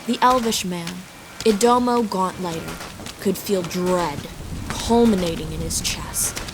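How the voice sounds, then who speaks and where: A woman's voice: fairly low pitch, background noise. A woman reading scripted fantasy story narration as the narrator. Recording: steady rain and storm ambience behind.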